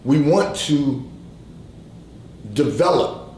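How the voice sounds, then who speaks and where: A man hosting a faith advice livestream, giving spoken devotional guidance in a slow, repetitive preaching cadence, hoarse from allergies and clearing his throat. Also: on the high side, lively intonation, darkish tone, echoey room, slight background noise.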